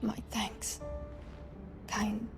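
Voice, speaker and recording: An actress playing a frightened, weary woman in a fantasy video game, hushed and faltering. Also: medium pitch, completely flat delivery, extremely slow, over music. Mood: weak, grateful. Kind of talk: video game cutscene acting.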